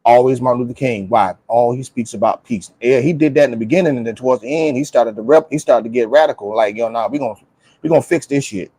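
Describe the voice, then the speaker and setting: A man's voice: mid-range voice, fast, mellow, breathy edge, dry recording. Emphatic American man, dry close sound. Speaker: panelist on a talk show. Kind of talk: casual opinionated group discussion.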